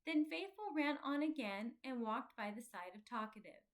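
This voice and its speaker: Higher-voiced woman reading a storybook. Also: animated, moderate pace. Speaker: storybook reader. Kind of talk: scripted children's story narration.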